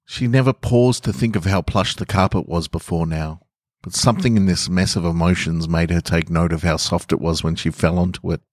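A man's voice: deep voice, steady tone, brisk, darkish tone, no room sound. A man hosting a podcast, talking casually and reading short stories aloud as narrator. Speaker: podcast host and story reader.

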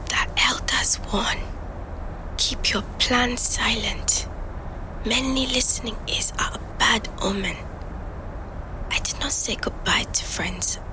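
A woman playing a reflective young migrant, speaking measured, introspective fragments as a scripted audio diary. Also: on the high side, in a whisper, poor audio. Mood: hushed, secretive. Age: young adult. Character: reflective young African migrant woman recording private night-time notes.

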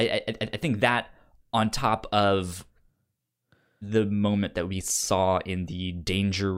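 A podcast co-host in a casual comics discussion, halting and often repeating or stumbling over words. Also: low voice.

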